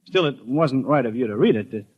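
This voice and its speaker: A man's voice: medium pitch, expressive, fairly quick, breathy edge. An actor playing an earnest, imaginative small-town postman with a folksy, hesitant manner in a 1950s radio drama. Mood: flustered, indignant. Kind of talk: 1950s radio acting, first-person storytelling and dialogue. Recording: dull old radio recording.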